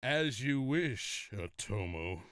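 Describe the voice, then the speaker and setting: A man playing a proud, defeated warlord in a video game, speaking deliberately with a wry, taunting edge. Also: mid-range voice, very animated, fairly bright tone, no room sound, studio quality. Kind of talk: dramatic video game cutscene acting.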